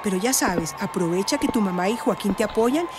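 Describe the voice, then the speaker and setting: A woman's voice: medium pitch, animated, fairly quick, noisy background, lightly acted. An actress with a Colombian accent playing a confident, supportive woman who explains legal rights in a radio drama. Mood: reassuring. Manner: assured, explanatory.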